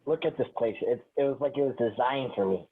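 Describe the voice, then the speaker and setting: An aloof, sarcastic party guest, read by a man at a table read. The pitch is ordinary and the audio is thin, like a phone line. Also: fairly quick, breathy edge, close to an everyday voice. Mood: self-absorbed.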